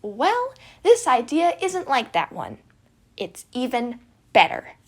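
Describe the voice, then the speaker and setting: Casting: brash, chaotic evil-twin brother played by a woman, gravelly, rough-edged, loud, mischievous, for story podcast. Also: sits high, wildly swooping pitch, slow, voice pushed up, exaggerated voice. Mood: excited, insistent. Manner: loud, exclaiming, teasing.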